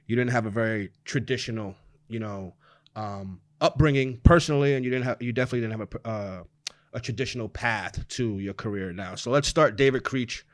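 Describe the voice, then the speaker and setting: A man from New York City hosting a podcast in a small studio, chatting casually into a boom mic and laughing easily. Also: brisk, dry recording. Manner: relaxed, laughs often, loose run-on questions. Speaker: podcast host. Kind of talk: casual interview chat. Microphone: studio mic on boom arm, headphones.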